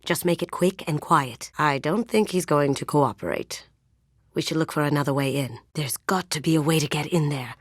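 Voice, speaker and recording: Sly young lady thief from a game, lowish voice, cool n playful, super dry close sound. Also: normal speed, dry recording. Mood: quiet, sly. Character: sly, confident young female master thief. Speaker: video game voice actress. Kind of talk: game character voice lines.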